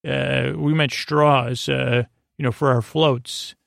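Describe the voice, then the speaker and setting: A man hosting a bedtime podcast with a creaky voice and a lulling, goofy, meandering and halting delivery. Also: medium pitch, steady tone, a bit bright, no echo, clean studio sound. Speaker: podcast host. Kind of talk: rambling bedtime monologue.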